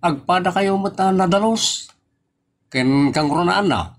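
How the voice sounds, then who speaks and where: A man's voice: sits high, measured pace. A man playing a teasing nephew in a comedy audio drama, talking in a playful way. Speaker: nephew teasing his aunt.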